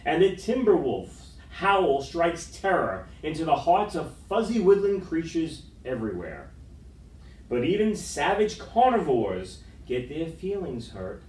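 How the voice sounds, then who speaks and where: A middle-aged man reads a picture book to children in a fairly high, very lively voice. His storytelling is dramatic and expressive. The sound is roomy, picked up from a distant camera microphone. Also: measured pace. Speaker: storytime reader. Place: school room with wood-panelled walls, indoors. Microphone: far camera microphone.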